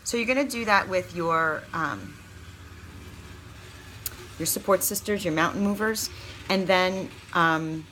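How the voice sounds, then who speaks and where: Chatty low-voiced woman coaching, some background noise. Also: takes it slowly, pauses often, sharp tone, rough recording. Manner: chatty, encouraging, candid, emphatic. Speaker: consultant giving sales training. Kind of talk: informal training talk with acted sample pitches.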